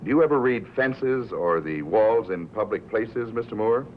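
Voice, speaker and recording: A reluctant male hospital doctor played by an actor in a 1950s film drama, with a deep voice. He is dry, hesitant and understated. The soundtrack is narrow and tinny with slight noise. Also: animated, normal speed, natural voice. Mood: dryly evasive.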